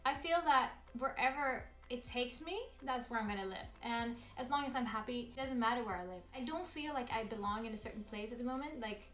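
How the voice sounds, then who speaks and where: Swedish woman, 30s, upbeat vlogger, a bit high, soft music underneath. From Sweden. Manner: bubbly, upbeat and chatty. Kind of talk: casual Q&A vlog.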